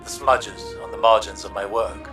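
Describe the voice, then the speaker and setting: An actor playing a regretful older scholar in a video game, giving gentle, measured, literary advice. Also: male voice, fairly low pitch, steady tone, recorded from a distance, music in the background, close to an everyday voice. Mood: wistful. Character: reflective, regretful older male scholar gently admonishing a colleague. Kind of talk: scripted video game dialogue.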